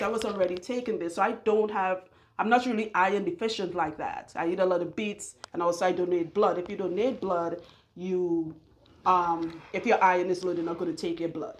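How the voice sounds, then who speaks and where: A woman's voice: mid-range voice, slight background noise. A woman in her forties from Trinidad with a Trinidadian accent, giving candid, chatty hair care advice that wanders off on tangents. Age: 40s. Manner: candid, chatty, digressive, laughs often. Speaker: loctician and hair care vlogger. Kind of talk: casual advice monologue.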